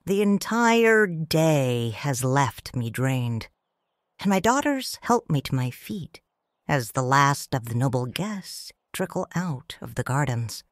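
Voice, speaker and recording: A middle-aged woman reads a first-person story as a grieving widow and mother. Her voice is low but expressive, with wide melodic rises and falls, and her pace is slow and tender. The sound is dry and close. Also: no room sound. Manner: slow, soft, tender and reflective. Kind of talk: scripted audiobook narration.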